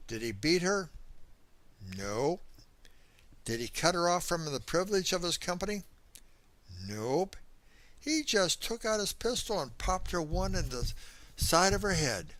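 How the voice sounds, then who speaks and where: A male narrator with a mid-pitched voice delivers a scripted first-person tale. His reading is folksy and dramatic, with expressive rises and falls. The sound is dry, with no room around it. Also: no echo. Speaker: audiobook narrator.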